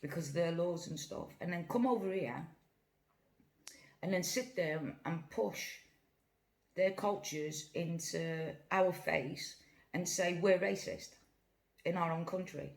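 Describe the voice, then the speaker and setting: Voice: medium pitch, takes it slowly, vocal fry, pauses often. A middle-aged speaker from Britain, chatting bluntly to camera while eating. They act out both sides of past conversations. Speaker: vlogger. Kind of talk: casual chat while eating. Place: small indoor room with brick-pattern wall, seated at a table.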